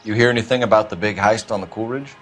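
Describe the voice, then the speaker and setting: An actor playing a terse, formal 1940s American police detective, questioning people in a dramatic video game style. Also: male voice, lowish voice, fairly even intonation, fast talker, soft background music, close to an everyday voice. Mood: stern. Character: stern, clipped male police detective, 1940s Los Angeles.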